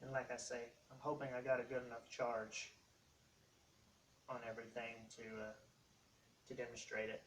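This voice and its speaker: A man casually demonstrating hobby gear as a video host, explaining in a halting, rambling way. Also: medium pitch, little pitch movement. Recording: distant mic, faint background noise.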